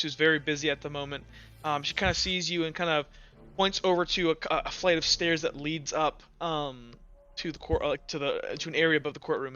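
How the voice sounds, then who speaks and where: A man's voice: fairly high pitch, bright, forceful tone, smooth. A man casually narrating a tabletop game as game master, chatting informally with his players over a voice call. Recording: slightly dull online voice-call audio. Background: faint music.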